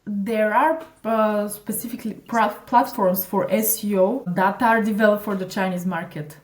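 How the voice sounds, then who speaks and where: A woman's voice: mid-range voice, steady tone, normal speed. Bulgarian-born woman, echoey room. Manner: hesitant, many fillers and restarts. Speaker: digital marketing consultant, guest. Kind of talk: conversational interview.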